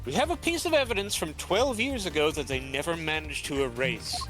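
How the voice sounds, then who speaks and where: A man voicing a composed male prosecutor in a fan dub of a game, building dramatic reveals in a measured way. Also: fairly high pitch, lively intonation, close to an everyday voice, rough recording. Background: game music.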